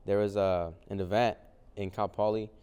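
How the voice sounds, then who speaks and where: A young man from Mexico, a native Spanish speaker, answering interview questions in a relaxed way, full of "um" and "like". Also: fairly low pitch, normal speed, clear, resonant tone. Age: young adult. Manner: relaxed, many fillers like "um" and "like". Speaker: guest, vintage clothing reseller. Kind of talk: casual interview conversation.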